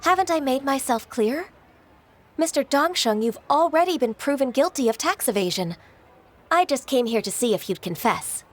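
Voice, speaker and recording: A woman's voice: high-pitched, lively intonation, normal speed, slight background noise. A woman voicing a composed, dutiful young official in a video game, speaking politely, formally and firmly. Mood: stern. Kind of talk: animated video game voice acting.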